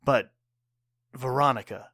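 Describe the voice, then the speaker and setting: A man's voice: medium pitch, unhurried, sharp tone, no room sound, studio quality. An actor playing a proud, wounded war veteran father and bartender in an audio drama, speaking earnestly and tersely. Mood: pained, proud.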